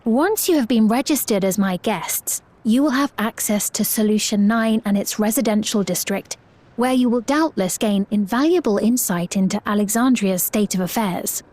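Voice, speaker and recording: A woman playing a gracious, courtly queen in a video game, speaking formally and with measured composure. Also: medium pitch, a little mellow, close to an everyday voice. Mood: composed, regal. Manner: formal, measured, regal diction. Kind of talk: scripted video game cutscene acting.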